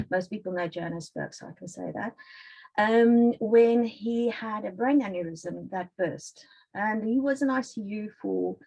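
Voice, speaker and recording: A woman's voice: medium pitch, vocal fry, husky, poor audio. A woman with a South African accent, a nurse presenting on a video call webinar in a friendly, chatty storytelling way. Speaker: guest speaker, ICU nurse.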